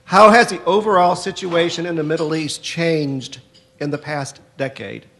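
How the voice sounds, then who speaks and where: A man's voice: fairly high pitch, moderate pace, creaky, a bit bright, a little hiss or hum. A man moderating a university panel, reading guest bios with some stumbles and posing questions. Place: university lecture hall.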